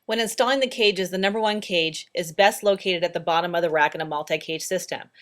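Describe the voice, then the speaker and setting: A woman's voice: fairly low pitch, fairly quick, fairly bright tone. A woman narrating instructional training slides in a plain, practical, step-by-step way. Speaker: training presenter.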